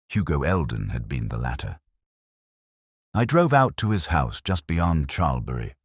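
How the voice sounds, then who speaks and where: A man playing a brooding, world-weary older detective, narrating a mystery in a measured, melancholy, literary way with a husky voice. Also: extremely low pitch, no echo, studio quality, exaggerated voice. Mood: haunted, wistful, then wary, observant. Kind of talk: scripted first-person mystery narration.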